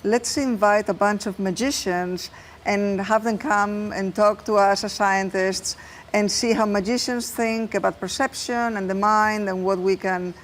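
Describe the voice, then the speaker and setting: Female guest, neuroscientist, measured explanatory delivery, mid pitch, light studio noise. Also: steady tone. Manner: measured, explanatory, with some fillers. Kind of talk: TV interview answers.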